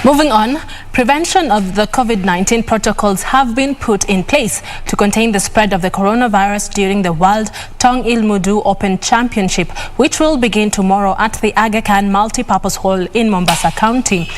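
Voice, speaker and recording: A woman anchoring the sports news, reading the script with crisp, steady delivery. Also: medium pitch, fairly quick, a little hiss or hum.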